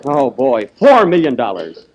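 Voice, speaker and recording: A man's voice: mid-range voice, wildly swooping pitch, a little slow. A man playing a fussy, pompous inventor in a 1930s radio comedy, theatrical and easily exasperated. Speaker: comedian host.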